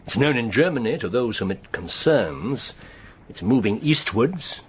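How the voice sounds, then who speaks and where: A man's voice: mid-range voice, lively intonation, slight background noise, natural voice. A man playing a grave older British official. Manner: measured, grave, dropping to near-whispers. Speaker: Sir Walter, government spymaster. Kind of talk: radio drama acting.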